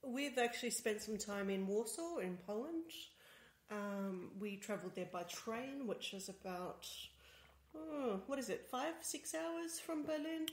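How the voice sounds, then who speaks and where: A woman with an Australian accent co-hosting a casual podcast, speaking quietly and hesitantly from off to the side of a shared microphone. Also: a little high, lively intonation, unhurried. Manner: soft-spoken, quiet, hesitant with ums. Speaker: co-host, tech journalist. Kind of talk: casual chat. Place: home apartment. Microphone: sharing one mic, sitting off to the side. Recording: roomy, quiet voice off-mic.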